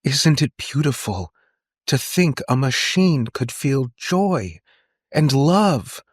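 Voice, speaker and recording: A man's voice: mid-range voice, very animated, takes it slowly, husky, dry recording, studio quality, voice pushed up, heavily acted. A man voicing a driven, emotional woman inventor in an audiobook, arguing with passion and defiance. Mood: pleading. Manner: impassioned, argumentative.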